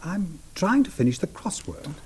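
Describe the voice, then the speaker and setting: An actor playing a mild, affectionate Edwardian gentleman bridegroom in a period radio drama, diffident and whimsical as he woos. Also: sits high, big pitch swings, normal speed, husky, heavily acted. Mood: evasive.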